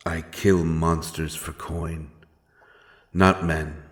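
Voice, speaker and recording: Man playing stern dark fantasy monster hunter, low-pitched, unhurried, solemn, archaic, menacing, audio drama. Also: little pitch movement, exaggerated voice. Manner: archaic, solemn, threatening speech. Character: grim, stern male monster hunter, menacing but honourable. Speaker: audio roleplay performer. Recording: music behind the voice.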